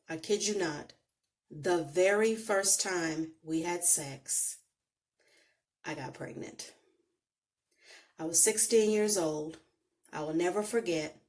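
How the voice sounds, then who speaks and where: Slow, low-voiced Bahamian woman storyteller. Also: gravelly. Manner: slow, deliberate, reflective delivery with emphatic pauses. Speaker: vlogger telling her life story. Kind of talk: personal storytelling to camera. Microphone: phone on a holder.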